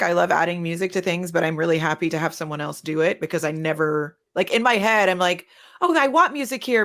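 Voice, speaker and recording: Woman panelist, audio fiction creator. Low register, conversational, expressive delivery. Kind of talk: casual panel talk.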